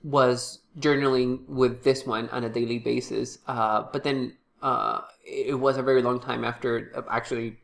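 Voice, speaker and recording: A man with a cold, sounding congested, talking casually to camera about his tarot decks with many pauses and restarts. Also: mid-range voice, little pitch movement, vocal fry. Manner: halting, many fillers and restarts. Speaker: tarot reader and channel host.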